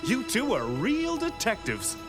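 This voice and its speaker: An earnest cartoon police detective, speaks in a highish voice that swings widely. His delivery is hearty and exaggerated over prominent music. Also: huge pitch swings, unhurried, exaggerated voice. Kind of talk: animated children's cartoon acting.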